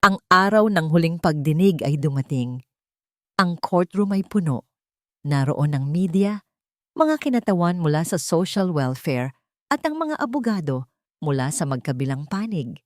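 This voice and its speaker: Woman, Filipino accent, lowish pitch, dramatic story narration, deliberate phrasing, very dry sound. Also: sing-song, no echo.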